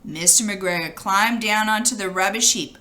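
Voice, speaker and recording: A woman reading a children's storybook aloud in an expressive, animated way, as if to young listeners. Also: medium pitch, moderate pace. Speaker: storybook reader.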